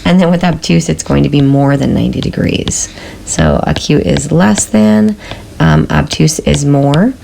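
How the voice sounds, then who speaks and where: A woman teacher talks through a recorded math lesson, her voice hoarse from a sore throat. Her low, heavily gravelly tone stays patient as she keeps checking in with students. Faint noise hums under the recording. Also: animated, a little slow, mellow. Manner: patient, checks in with frequent "okay?".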